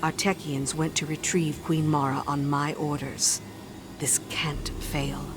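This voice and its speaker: Earnest game officer, low voice, dutiful and urgent briefing delivery, music behind. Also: female voice, little pitch movement, unhurried. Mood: earnest, resolute. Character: Petra Venj, earnest, dutiful female military second-in-command. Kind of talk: scripted video game mission briefing. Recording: music under the voice.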